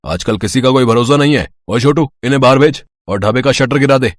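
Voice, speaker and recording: A man's voice: mid-range voice, a little fast, no echo, studio quality, close to an everyday voice. A man voicing an arrogant, well-off roadside eatery owner who taunts and turns people away. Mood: dismissive. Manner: taunting, dismissive. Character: arrogant rich dhaba owner, mocking man.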